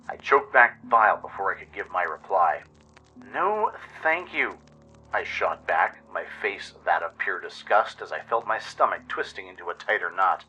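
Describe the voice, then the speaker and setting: Sharp, forceful man narrating a radio drama. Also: on the low side, dull audio. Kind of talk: dramatic scripted first-person story narration.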